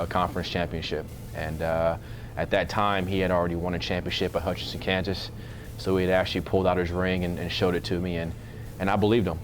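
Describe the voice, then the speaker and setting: A man in his thirties, a basketball coach, speaking in a measured, earnest way in an informal interview. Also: low-pitched, noisy background. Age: 30s.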